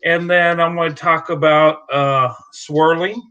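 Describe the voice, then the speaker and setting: A man's voice: fairly high pitch, steady tone, unhurried, sharp tone, clean tone. A man hosting a live stream in casual chat, reassuring and laughing often, with many "uh" fillers.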